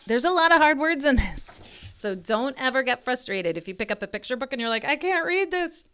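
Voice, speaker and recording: Animated woman reading aloud, smooth clear voice. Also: fairly high pitch, fairly quick. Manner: expressive, teacherly, directly questioning the listener. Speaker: reading tutor. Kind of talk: modeled picture-book read-aloud with teaching asides.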